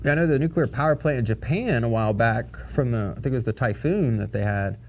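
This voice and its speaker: A man's voice: medium pitch, brisk, a little mellow, slight background noise. A man co-hosting a live stock market show, playful and teasing, often laughing at his partner. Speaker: co-host, market instructor. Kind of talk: casual live market chat.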